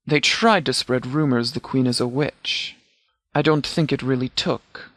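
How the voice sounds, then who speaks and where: A man's voice: a little high, fairly bright tone, no room sound. A man acting a stern, dry-sounding game sheriff. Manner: formal, precise, grave, deliberate phrasing. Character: stern, principled middle-aged sheriff and militia commander. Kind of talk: scripted video game character dialogue.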